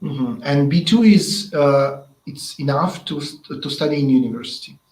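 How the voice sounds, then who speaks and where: Halting male interviewer, echoey room. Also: a little high, a little mellow. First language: Russian. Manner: halting, searching for words. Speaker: interviewer from the language institute. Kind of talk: casual interview.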